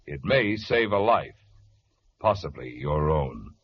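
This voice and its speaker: A man's voice: a bass voice, sing-song, takes it slowly, very noisy. An American man announcing in a polished, emphatic 1940s radio style. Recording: dull vintage radio recording, faint music.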